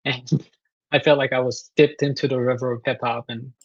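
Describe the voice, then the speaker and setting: A composer from South Korea with a Korean accent, answering interview questions thoughtfully with many fillers and restarts. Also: male voice, medium pitch, flat delivery, moderate pace, no room sound, highs cut off. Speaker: guest composer.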